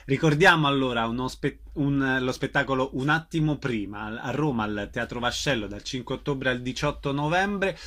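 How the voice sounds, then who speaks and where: Bright-voiced male radio host. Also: medium pitch, moderate pace, few pauses. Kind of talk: radio arts interview.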